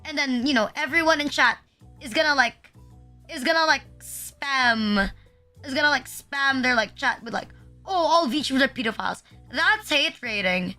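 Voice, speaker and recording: A woman's voice: high-pitched, animated, normal speed, pushed and bright, soft background music. A young woman from the Philippines chatting casually on a livestream, rambling with constant fillers and frequent swearing. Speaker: VTuber streamer.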